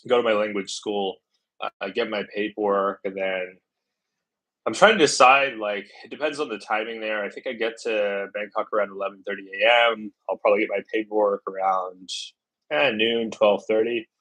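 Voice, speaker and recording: A man's voice: lowish voice, steady tone, moderate pace, sharp tone, low-quality recording. A man from the United States chatting casually on a travel livestream, thinking aloud with lots of fillers and restarts. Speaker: travel vlogger.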